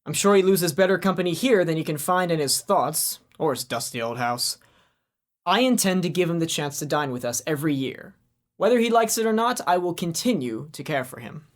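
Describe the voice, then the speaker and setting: A woman's voice: deep voice, animated, fairly quick. A woman playing an earnest, forgiving party guest in a student radio drama.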